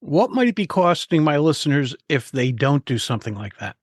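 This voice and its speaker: A man hosting a public speaking podcast, reading a measured, clear introduction and asking his guest questions. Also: on the high side, big pitch swings, no echo, studio quality. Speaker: podcast host. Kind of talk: scripted introduction and interview questions.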